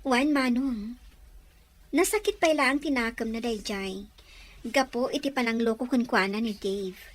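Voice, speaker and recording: A woman's voice: a little high, normal speed, natural voice. A woman playing a playful young sister who teases her older brother in an audio drama.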